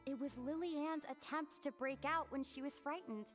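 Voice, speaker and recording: A woman playing a composed young heroine in a game, explaining a case in a measured, deliberate way. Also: high voice, fairly even intonation, faint music behind, natural voice. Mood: thoughtful, assured.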